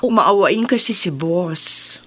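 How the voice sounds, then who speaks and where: A smooth, obliging recruiter in a radio drama. She coaxes slowly with lively swings in tone and bits of English, in a dry, close recording. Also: lowish voice, no echo, faint music behind, exaggerated voice. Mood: coaxing, casual. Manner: smooth-talking, coaxing, mixes in English. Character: persuasive, obliging female recruiter, loyal to her boss.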